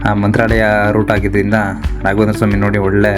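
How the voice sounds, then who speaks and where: A man's voice: on the low side, completely flat delivery, fast talker. A man from Karnataka, India, narrating a casual vlog in a chatty, informal way, addressing his viewers. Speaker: vlogger. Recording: loud music over voice. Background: loud music.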